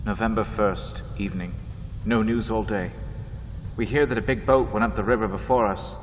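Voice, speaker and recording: A man's voice: on the low side, steady tone, normal speed, slight background noise, natural voice. A man playing a pursuer reading out journal entries in a radio drama, clipped and urgent. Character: grim male pursuer reading his travel journal. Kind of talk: 1930s-style radio drama acting. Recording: long hall reverb.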